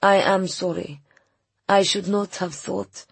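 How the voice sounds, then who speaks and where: A woman plays a lonely young immigrant man in an audiobook. He speaks simple, halting learner's English with a sad, earnest air. The voice is rough with fry and recorded close and dry. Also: mid-range voice, steady tone, no room sound, pitched above the performer's own voice. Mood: downcast, apologetic. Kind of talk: audiobook character dialogue.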